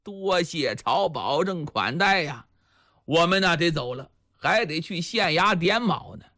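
A man voicing a gruff county constable chief, blunt and hearty, in a storyteller's tale. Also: on the high side, lively intonation, a little slow, sharp tone, dry recording, studio quality, pitched below the performer's own voice. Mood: polite. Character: Lei Heng, gruff male county constable chief, polite but puzzled.

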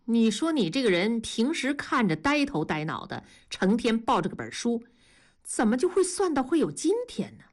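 A woman's voice: fairly high pitch, sing-song, normal speed. A woman voicing a good-natured young male factory worker in an audiobook, earnest and conciliatory in colloquial speech. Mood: teasing exasperation.

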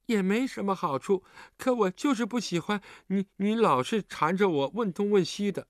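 一名男子在有声故事中扮演一个倔强、胆怯又委屈的丧亲小男孩，说话吞吞吐吐。. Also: male voice, sits high, lively intonation, dry recording, studio quality, voice pushed up. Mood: sulky, defiant. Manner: halting, stammering, plaintive. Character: stubborn, grieving orphan little boy, sulky and timid.

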